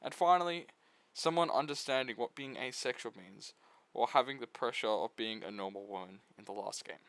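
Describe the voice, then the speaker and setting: A man's voice: mid-range voice, fairly quick, creaky, fairly bright tone, no echo. A man giving a casual video essay review of visual novels, full of flippant, irreverent asides.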